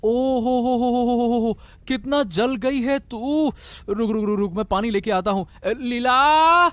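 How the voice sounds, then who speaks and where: A man's voice: very high-pitched, a little slow, a bit bright, clean tone, dry recording. A man voicing an indignant, reproachful, protective husband in a Hindi cartoon story, full of exclamations. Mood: alarmed.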